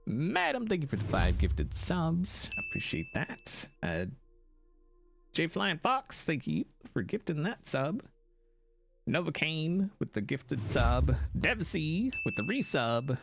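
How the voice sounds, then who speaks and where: A man hosting a game live stream, chatting casually and thanking viewers, with a gravelly morning voice. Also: on the high side, wildly swooping pitch, over music. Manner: playful, jokey, thanks donors rapidly. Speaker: livestream host.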